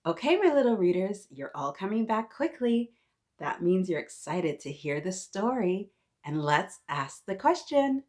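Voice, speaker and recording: A woman's voice: mid-range voice, sing-song, measured pace, darkish tone. A woman hosting a read-aloud for young children, talking to her little listeners in an encouraging, teacher-like way, with questions and praise. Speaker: children's story-time host.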